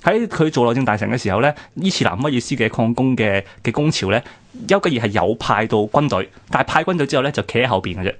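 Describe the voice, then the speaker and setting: A man's voice: medium pitch, animated, fast. A man with a Hong Kong Cantonese accent, telling history conversationally as a radio host, explaining step by step.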